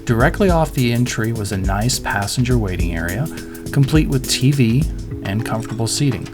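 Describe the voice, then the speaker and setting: A man's voice: medium pitch, lively intonation, moderate pace. A middle-aged man reading a scripted voice-over as a pilot narrating an airport review. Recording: voice-over with music underneath. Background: background music.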